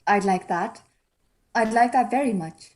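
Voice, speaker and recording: A woman's voice: mid-range voice, measured pace, natural voice. A woman playing a wistful, reserved young Indian woman far from home in a period radio mystery, polite and guarded. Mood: polite, hopeful.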